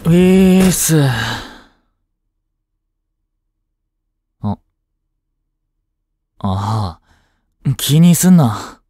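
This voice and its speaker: A man's voice: on the high side, very animated, extremely slow, mellow, no echo, exaggerated voice. A young man playing a lovestruck, jealous schoolboy in a romantic voice drama, speaking casually with hesitations and sighs. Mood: tired, nervous. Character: lovestruck, jealous teenage schoolboy childhood friend. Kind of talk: Japanese romantic voice drama acting.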